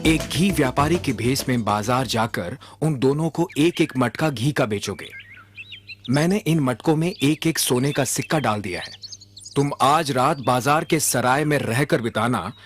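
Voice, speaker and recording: A man voicing a shrewd, composed royal minister in a cartoon, speaking in a measured, courteous, assured way. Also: medium pitch, lightly acted. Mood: assured instructing. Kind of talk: Hindi cartoon voice acting. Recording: music behind the voice.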